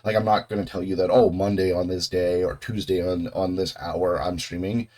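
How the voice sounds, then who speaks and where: A young man hosting a reaction video, talking casually with a voice still hoarse from a cold, rambling, swearing and restarting his sentences. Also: low-pitched, steady tone, moderate pace, mellow. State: hoarse, getting over a cold. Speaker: host of a video reaction channel. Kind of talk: casual off-the-cuff chat.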